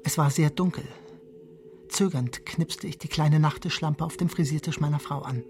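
An actor playing a jealous, childish husband in a radio drama, confessing his schemes in a measured first-person monologue. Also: a little high, fairly even intonation, darkish tone, close to an everyday voice. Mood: furtive, confessional.

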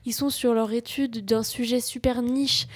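Chatty young student woman, slightly high pitch. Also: measured pace. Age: young adult. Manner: lively, chatty, eager. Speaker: co-host, university student. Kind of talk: casual radio chat.

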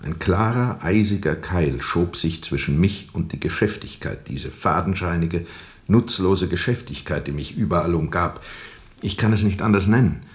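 Radio play lead: brooding sea captain, deep register, formal measured phrasing, bitter and introspective storyteller. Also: male voice, animated. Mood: troubled, introspective. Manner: reflective, deliberate, formal, bitterly disillusioned. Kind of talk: radio play narration, literary monologue.

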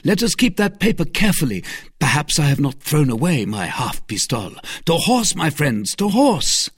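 A man's voice: mid-range voice, animated, normal speed, a bit bright, breathy edge, no echo, clean studio sound, voice pushed up. A man voicing an impulsive young swordsman in a storybook, urgent and exclamatory. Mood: eager, commanding. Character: hot-headed, impulsive young male swordsman.

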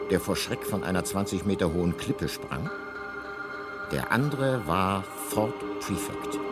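Radio drama narrator: urbane male guidebook voice, fairly deep, deadpan and measured, clear music bed. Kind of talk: scripted radio play narration.

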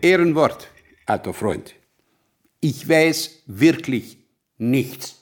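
A man's voice: medium pitch, expressive, very slow, husky, exaggerated voice. An actor in a radio play playing a bored, condescending intellectual man who returns as a dead old friend.

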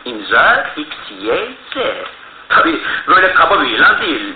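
An actor playing an eager, impulsive, boyish gentleman with an excitable manner in a radio drama. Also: a little high, normal speed. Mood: excited. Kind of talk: Turkish radio theatre acting. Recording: echoey, tinny, noisy old radio broadcast.

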